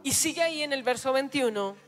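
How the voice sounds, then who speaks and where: High-voiced church interpreter, quick delivery. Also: lively intonation, fairly bright tone. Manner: quick, follows the preacher line by line. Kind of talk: live Spanish interpretation of a sermon. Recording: echoey hall with music.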